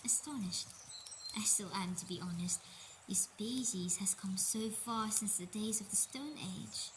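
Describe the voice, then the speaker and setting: A woman's voice: mid-range voice, measured pace, background noise, close to an everyday voice. A woman voicing a sickly, motherly Earth goddess in an intimate roleplay monologue, hesitant and emotional, dipping toward whispers. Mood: tender pride. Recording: nature ambience with birdsong behind voice.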